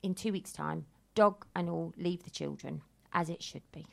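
A woman's voice: fairly low pitch, normal speed, creaky. A woman co-hosting a radio show, speaking frankly and emphatically in casual chat. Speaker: radio co-host. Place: radio studio.

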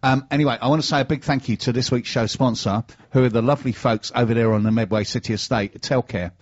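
A man's voice: mid-range voice, fairly even intonation, fast talker. A middle-aged man with a British accent, hosting a radio show with casual chat and sponsor messages. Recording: dull, band-limited sound.

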